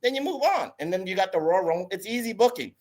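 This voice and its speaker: Hoarse opinionated man ranting. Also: high-pitched, lively intonation, fast, a little mellow. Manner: opinionated, talks straight to listeners. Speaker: podcast co-host. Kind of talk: casual wrestling chat.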